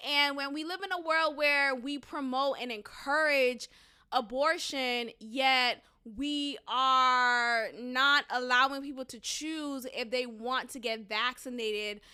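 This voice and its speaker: High, bright-voiced woman with a Boston accent. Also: smooth. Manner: opinionated, sarcastic, emphatic. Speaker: podcast host. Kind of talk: solo opinion commentary podcast.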